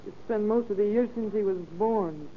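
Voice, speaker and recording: Actress as long-suffering American housewife, reproachful and pleading, mid-pitched, vintage radio serial with phone-like tinny sound. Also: female voice, moderate pace, close to an everyday voice. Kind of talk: 1930s radio drama acting. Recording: tinny, noisy old radio recording.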